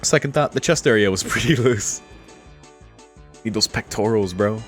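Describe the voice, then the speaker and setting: A man's voice: fairly low pitch, normal speed, soft background music. A man streaming a let's play, amused and slangy, commenting casually and reading lines aloud.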